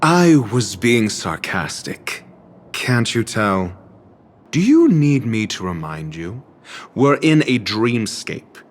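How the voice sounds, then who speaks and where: Condescending game-world intellectual: low-pitched, swooping intonation, pompous diction, impatient sarcasm, music underneath. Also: male voice, sing-song, takes it slowly. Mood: sarcastic, exasperated. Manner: pompous, ornate formal diction, dry sarcasm. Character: haughty, erudite, condescending male scholar, sardonic and impatient. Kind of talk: video game cutscene voice acting. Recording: background music under studio game dialogue.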